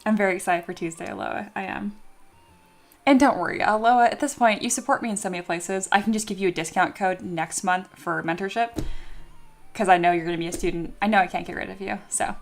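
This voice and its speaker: Young female streamer, bubbly and excitable, reads chat aloud, faint music underneath. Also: medium pitch, fast talker, gravelly. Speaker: streamer, 3D sculptor. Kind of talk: casual livestream chat with viewers.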